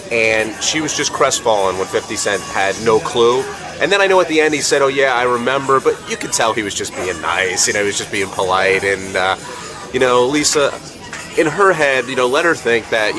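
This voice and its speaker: Gossipy man talking over music. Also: medium pitch. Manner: gossipy, rambling. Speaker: interviewee. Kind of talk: casual opinionated commentary.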